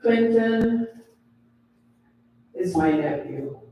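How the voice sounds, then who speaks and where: An older man from Guyana, giving a slow, deliberate memorial tribute as a family elder. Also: sits high, expressive, warm tone. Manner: slow, deliberate, pausing between phrases. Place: funeral home chapel. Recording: very echoey hall, distant mic.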